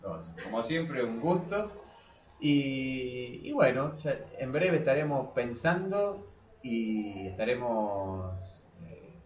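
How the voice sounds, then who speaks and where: A man's voice: medium pitch, very animated, slow, muffled recording. A man with an Argentine Spanish accent co-hosting a casual literary podcast, hesitating and rephrasing as he goes. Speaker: podcast co-host. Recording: roomy echo, distant from the mic.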